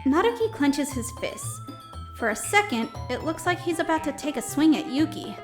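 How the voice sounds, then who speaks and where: A woman's voice: high-pitched, expressive, moderate pace. A woman playing an anxious, earnest young female student who narrates a visual novel audio drama in a dramatic, hesitant way. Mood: narrating. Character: anxious, exasperated young female student, new club member. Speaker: voice actor narrating and playing the lead. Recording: music behind the voice.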